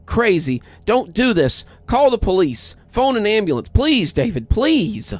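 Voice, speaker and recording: A man's voice: sits high, sing-song, unhurried, no room sound, voice pushed up, exaggerated voice. A man voicing a desperate, terrified mother in a horror story, tearfully pleading with her husband.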